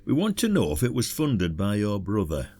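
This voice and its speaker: A man's voice: on the low side, wildly swooping pitch, normal speed, dark tone, breathy edge, exaggerated voice. A man playing a blunt, ageing retired detective from the working class in a podcast drama, with a Yorkshire accent. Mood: dry, needling. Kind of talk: podcast audio drama acting.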